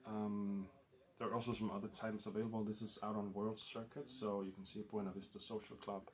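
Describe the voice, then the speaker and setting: A man's voice: lowish voice, flat delivery, brisk, darkish tone. Danish-accented man chatting about records. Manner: rambling, full of ums and uhs. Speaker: record collector vlogger. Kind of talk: casual record haul vlog.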